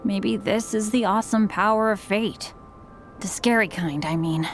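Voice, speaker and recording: A woman's voice: medium pitch, normal speed, faint music behind, natural voice. A woman playing an earnest, unlucky teenage boy adventurer in an anime game, with a boyish voice. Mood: apologetic, downcast. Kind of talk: anime video game voice acting.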